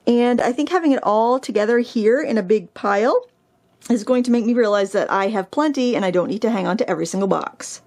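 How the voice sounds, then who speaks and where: A woman's voice: on the high side, a little fast, darkish tone. A woman with a Maine accent chatting casually as a craft vlogger while she sorts her art room, filmed on a handheld camera. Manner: rambling, self-deprecating, many ums and likes. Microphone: handheld camera mic.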